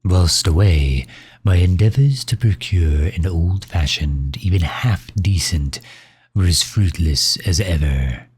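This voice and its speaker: A man performs a megalomaniac villain with an extremely low, husky voice. He is pompous and suave, talking down to his servant in formal, leisurely phrases. It is an ASMR roleplay, recorded close and dry. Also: slow pace, a little mellow, no echo, exaggerated voice. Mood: weary, contented.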